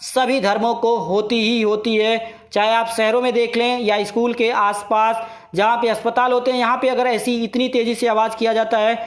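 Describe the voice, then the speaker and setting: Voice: medium pitch, little pitch movement, normal speed. Indian presenter explaining points step by step. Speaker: educational video presenter. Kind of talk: explanatory current-affairs lesson narration.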